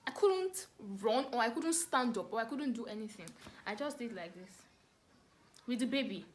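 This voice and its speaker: A woman with a Nigerian accent telling a story casually to camera, animated and dramatic, repeating words for emphasis. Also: on the high side, moderate pace, a little hiss or hum. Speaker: vlogger telling a family story.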